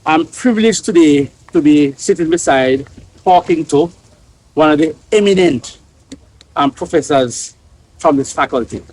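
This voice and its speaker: A middle-aged Jamaican-accented man hosts an interview in an outdoor courtyard, recorded by a camera set at some distance. His voice is a bit husky and sits fairly high for a man, and he backs his guest with steady encouraging interjections. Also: unhurried. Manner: affirming, frequent encouraging interjections. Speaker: interview host. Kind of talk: hosting a conversational interview. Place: outdoor courtyard under a large tree.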